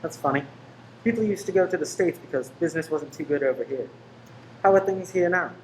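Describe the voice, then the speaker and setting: A woman's voice: low voice, fairly quick, lightly acted. A woman playing a charming young man in a radio play, jaunty and inquisitive. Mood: probing. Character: charming, probing young man about town named Ted. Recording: noisy room recording.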